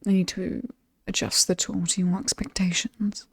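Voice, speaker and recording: A woman with a British accent playing an alluring, possibly demonic lady of a dark estate, breathy and often whispering, teasing and coolly menacing in a horror ASMR roleplay. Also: lowish voice, level tone, no room sound, clean studio sound. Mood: teasing threat. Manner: slow, teasing, coolly amused and aristocratic. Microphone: close, dry microphone.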